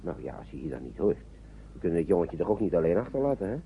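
A man's voice: low voice, very animated, rapid-fire, narrow, tinny audio. An actor in a radio play voicing a kindly, anxious man shepherding children, fussy and reassuring, often repeating himself. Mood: concerned. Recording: noisy old radio play recording.

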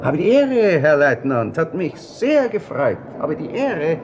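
An actor playing an overly courteous Viennese gentleman with a gravelly voice and local accent in a radio drama. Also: fairly high pitch, sing-song, slow pace. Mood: fawning. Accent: Viennese Austrian. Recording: clearly noisy background.

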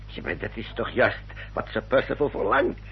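A man playing an older, formal Victorian gentleman adviser in a Dutch radio drama, rough-voiced, deliberate and emphatic. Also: on the low side, animated, full character voice. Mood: earnest, persuasive. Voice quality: husky, rough. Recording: dull, muffled old radio recording.

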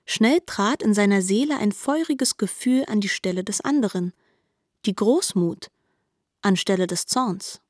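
A woman reads literary narration for a radio drama in a measured way. Her voice sits at a medium pitch in a dry studio sound. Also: no echo.

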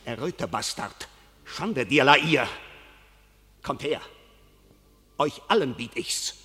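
Wrathful noble duke, ringing verse declamation, radio play. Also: male voice, mid-range voice, expressive, slow, bright, forceful tone. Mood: defiant. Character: proud noble male duke, wrathful warrior. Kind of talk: classic radio play acting.

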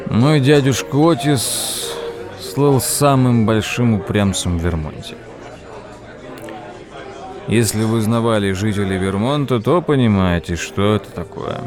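An actor playing a wry man who tells tall tales in an audio play, theatrical and deliberate, with dramatic pauses. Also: on the low side. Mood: wry, grave. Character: wry male raconteur telling tall tales over drinks. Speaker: narrator. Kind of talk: dramatized first-person storytelling in an audio play. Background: background music.

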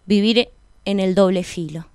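A woman's voice: medium pitch, measured pace, a little mellow, a little hiss or hum. A woman with an Argentine accent delivering a scripted spoken-word monologue as a radio presenter. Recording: music bed under the voice. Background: music.

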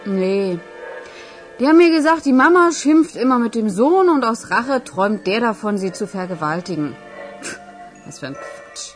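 An actress playing a brash, mocking film performer in a radio crime drama, flippant and teasing. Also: female voice, fairly high pitch, lively intonation, moderate pace, faint music behind, natural voice.

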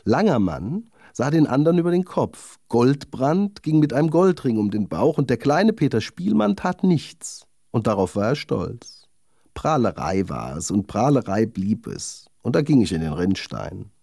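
A proud little lady of a sewing needle from a children's fairy tale, acted out by a male reader. The delivery is self-satisfied and genteel, moving freely up and down in pitch. The tone is mellow, with some creak at the edges. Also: big pitch swings, normal speed, no echo, clean studio sound. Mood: boastful, scornful. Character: vain, haughty young lady darning needle.

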